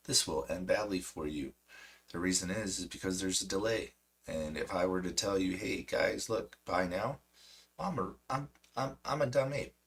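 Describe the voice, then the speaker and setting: Hoarse low-voiced man, voice cracking. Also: sharp tone. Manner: chatty, self-mocking, lots of fillers. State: losing his voice, hoarse. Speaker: stock trading streamer. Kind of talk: casual market commentary.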